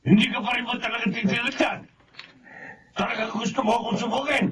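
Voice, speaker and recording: A man's voice: very high voice, fairly even intonation, fast talker, fairly bright tone. An actor playing an angry, threatening wealthy father in a Filipino drama, menacing and impatient. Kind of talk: Filipino radio drama acting. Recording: distant, slightly noisy audio.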